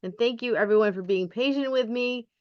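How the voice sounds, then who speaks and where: A woman's voice: on the high side, fairly quick, dry recording, highs cut off. A woman hosting a podcast, reading a guest introduction and then asking questions with small slips. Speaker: podcast host. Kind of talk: read introduction and casual interview.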